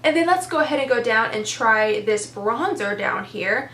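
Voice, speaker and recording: A woman's voice: on the high side, expressive, normal speed, a bit bright, reverberant, slight background noise. A young woman with a congested, stuffed-up voice, chatting enthusiastically as she reviews makeup. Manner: chatty, enthusiastic, lots of "super" and "very". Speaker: beauty vlogger. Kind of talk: casual product review chat.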